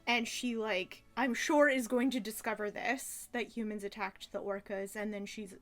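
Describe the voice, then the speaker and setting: A woman giving casual, rambling commentary as a reviewer, gushing and emphatic in an animated way. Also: mid-range voice, moderate pace, soft background music. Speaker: reviewer reacting to a childhood cartoon.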